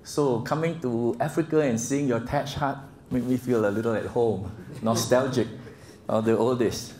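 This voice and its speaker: A Singaporean man in his sixties giving a slide presentation to a group, speaking in a halting, gently humorous way. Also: mid-range voice, steady tone. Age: 60s. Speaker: guest speaker. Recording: echoey room with faint background noise.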